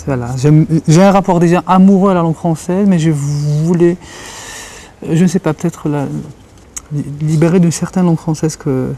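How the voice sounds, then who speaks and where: Thoughtful man, mellow voice. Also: fairly high pitch, fairly even intonation, moderate pace. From Algeria. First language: Kabyle. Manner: thoughtful, searching for words. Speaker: interviewee, writer and journalist. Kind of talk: reflective interview talk.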